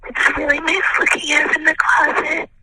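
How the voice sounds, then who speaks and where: A woman voicing a creepy stalker in a closet, leaving a drawn-out, eerie voicemail. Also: high-pitched, level tone, bright, forceful tone, rough recording. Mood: creepy, taunting.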